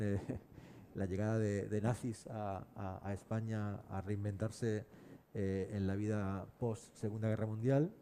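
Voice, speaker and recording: A man moderating a cultural panel discussion in measured, halting phrases. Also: fairly low pitch, warm tone, slight background noise.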